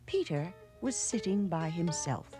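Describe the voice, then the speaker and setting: Low, gently animated female storyteller. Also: music in the background. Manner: measured, expressive storytelling. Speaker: storybook narrator. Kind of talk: scripted children's storybook narration.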